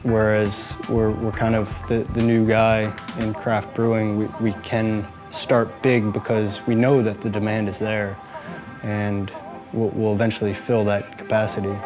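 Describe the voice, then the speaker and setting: A man in his thirties at a brewery, answering interview questions earnestly and haltingly, often repeating words. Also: lowish voice, flat delivery, over music. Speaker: brewery manager. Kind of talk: interview and plant tour.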